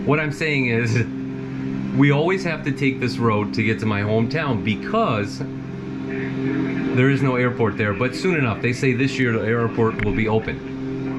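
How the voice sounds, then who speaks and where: A middle-aged man from Romania, chatting casually and rambling as he streams a flight and talks to his chat, laughing often. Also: medium pitch. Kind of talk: casual live-stream commentary.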